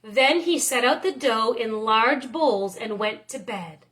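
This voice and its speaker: A woman teacher reading a picture book aloud in expressive narration, with deliberate, drawn-out phrases. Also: on the high side.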